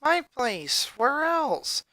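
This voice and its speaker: A woman's voice: high-pitched, very animated, drawn-out words, bright, forceful tone, no echo, voice pushed up, full character voice. A woman voicing a concerned, feisty young girl in a story reading. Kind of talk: fan fiction character voice.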